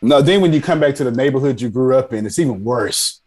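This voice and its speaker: A man's voice: medium pitch, lively intonation, fast, crowd noise. A man around forty telling his story casually as an interview guest, self-deprecating and emphatic, repeating phrases for effect. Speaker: podcast guest, army veteran. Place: indoors on a video call.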